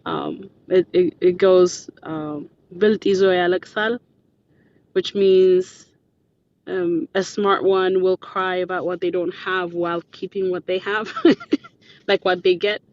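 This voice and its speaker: A woman's voice: fairly low pitch, level tone, slow pace. A woman from Ethiopia, a native Amharic speaker, joining a live chat as a guest and talking hesitantly with many "um"s and restarts. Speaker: guest caller. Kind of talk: casual live audio-room chat. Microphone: phone app. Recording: dull lo-fi phone audio with faint noise.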